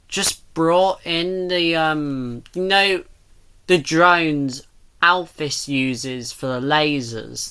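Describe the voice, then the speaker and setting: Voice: mid-range voice, bright, forceful tone, clear, resonant tone. A person giving casual, rambling reaction commentary, thinking aloud and speculating as the host.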